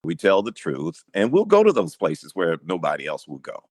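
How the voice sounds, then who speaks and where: A man who anchors radio news, talking casually in an interview, telling stories with emphatic, animated asides. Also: low voice, normal speed, no room sound, clean studio sound.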